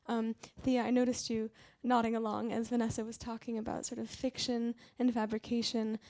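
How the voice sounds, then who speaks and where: A woman's voice: a little high, vocal fry, dark tone. A woman moderating a library panel, enthusiastically steering the conversation with questions.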